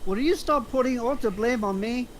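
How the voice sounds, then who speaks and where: A woman playing a hot-headed, foul-mouthed criminal sidekick of twenty-four in a film table read, pushy and quick to blame. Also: on the high side, slight background noise, close to an everyday voice. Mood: combative. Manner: blaming, pushy, sweary.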